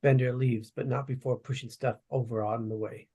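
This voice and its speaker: A man's voice: mid-range voice, fairly even intonation, normal speed, dark tone, slightly rough. A man reading out stage directions as plain narration at a script table read.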